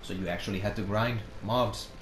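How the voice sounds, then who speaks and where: A man's voice: on the low side, fairly even intonation, brisk. A man chatting casually over a game, making wry, crude quips. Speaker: let's play co-host. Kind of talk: casual gameplay chat. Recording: static-y echoey mic.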